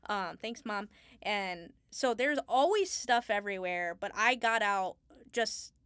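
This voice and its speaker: A woman's voice: mid-range voice, animated, unhurried, a bit bright, clean tone, dry recording. A woman chatting informally as an interview guest, thinking aloud about her craft in an unscripted way. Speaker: Foley artist, podcast guest. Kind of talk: casual interview chat.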